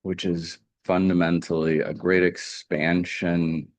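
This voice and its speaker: Very deep-voiced man guiding meditation. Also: no room sound. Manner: calm, gentle, deliberate with pauses. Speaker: meditation teacher. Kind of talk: guided meditation.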